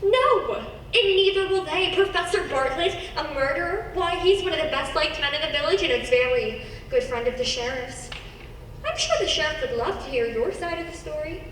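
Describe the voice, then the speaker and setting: A woman acts a defiant, spirited young lady in a radio play. Her high, piercingly bright voice is sarcastic and theatrical. It carries from a distance in an echoing hall. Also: expressive, moderate pace. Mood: mocking. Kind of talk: stage-like radio play acting. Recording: distant, echoey hall, noisy.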